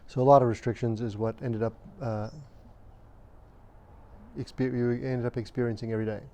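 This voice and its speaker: A man in his fifties from Romania, recalling his childhood in an interview at a measured, hesitant pace with frequent pauses and fillers. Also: medium pitch, flat delivery, dark tone, a little hiss or hum. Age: 50s. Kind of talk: recounting childhood memories.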